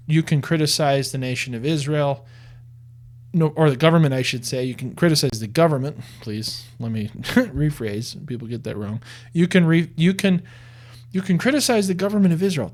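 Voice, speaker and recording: A man giving earnest religious commentary in a conversational tone, often restarting and repeating words as he makes his case. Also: fairly high pitch.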